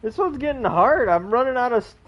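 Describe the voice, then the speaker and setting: A man's voice: very high-pitched, expressive, a little fast. A man giving casual gameplay commentary, thinking aloud as he plays. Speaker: gamer. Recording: dull mic with faint background noise.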